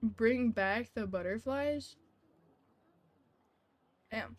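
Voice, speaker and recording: A woman's voice: fairly high pitch, extremely slow, dry recording. A woman live-streaming a video game with casual commentary, playfully sarcastic as she talks back to chat and game.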